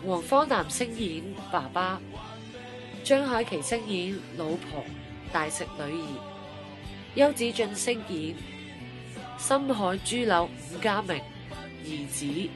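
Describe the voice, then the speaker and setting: A woman's voice: on the low side, animated, takes it slowly, long pauses. A woman reading out scripted radio drama credits as an announcer. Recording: music clearly behind the voice.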